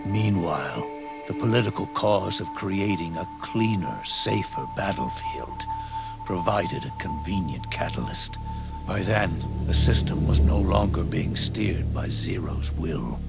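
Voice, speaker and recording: An actor playing an aging, world-weary soldier in a video game cutscene, speaking gravely and deliberately with dramatic pauses. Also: male voice, lively intonation, heavily acted. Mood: solemn, explaining. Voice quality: deep, gravelly. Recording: loud music under the voice. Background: loud music.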